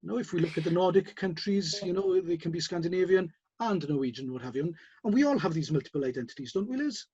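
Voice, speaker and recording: Husky, higher-voiced male host. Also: animated, quick pace, hardly any pauses, a little mellow, no echo, lo-fi sound. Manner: hesitant, filler-heavy, prompting questions. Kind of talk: interview discussion.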